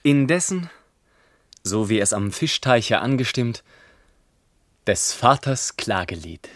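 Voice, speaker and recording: An actor playing a genial author narrating his family history in a German radio play, theatrical, measured and wry. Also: mid-range voice, big pitch swings, no echo, clean studio sound.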